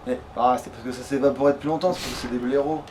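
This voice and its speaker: A man's voice: mid-range voice, fairly even intonation, fast talker, darkish tone. A man giving casual, sweary let's play commentary, often muttering to himself as he plays. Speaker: let's player. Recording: clearly noisy background.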